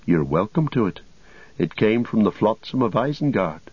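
A man voicing a plucky, polite but easily indignant young hobbit, brisk and plain-spoken, in an audiobook. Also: fairly low pitch, animated, husky, no room sound, lo-fi sound, pitched above the performer's own voice. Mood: generous, plain.

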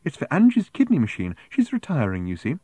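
A man voicing a prim, genteel middle-aged woman selling raffle tickets in a storybook, speaking slowly with a cloying, sighing sweetness. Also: mid-range voice, huge pitch swings, warm tone, breathy edge, no room sound, voice pushed up. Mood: cloyingly sweet.

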